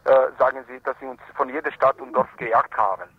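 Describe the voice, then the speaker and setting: Male activist phoning in to radio, non-native, mid-pitched and husky, gropes for words in broken grammar, muffled phone sound. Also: fairly even intonation, fairly quick, no echo. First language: not German. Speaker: activist spokesman for Roma protesters, radio phone-in guest. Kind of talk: live phone interview, spontaneous report and appeal.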